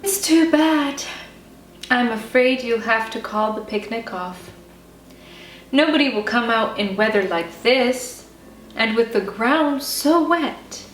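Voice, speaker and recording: A woman's voice: fairly high pitch, slow. A woman voicing a weary, doubting mother who frets and cautions, in a children's storybook reading. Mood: worried. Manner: fretful, cautioning. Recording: roomy, distant mic, faint music.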